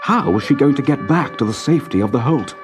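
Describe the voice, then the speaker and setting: A man narrating a children's story in a measured, dramatic, expressive manner. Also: mid-range voice, over music.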